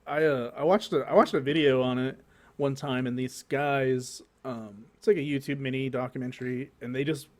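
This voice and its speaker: A man's voice: medium pitch, steady tone, moderate pace. A man co-hosting a podcast in casual group chat, speaking informally with some swearing. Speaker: podcast co-host.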